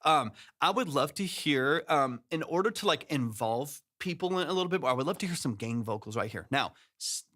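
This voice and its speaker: A man's voice: a little high, expressive, brisk, hardly any pauses, pushed and bright. A man, a music producer, giving enthusiastic feedback on a song during a casual livestream, praising it often and restarting his sentences.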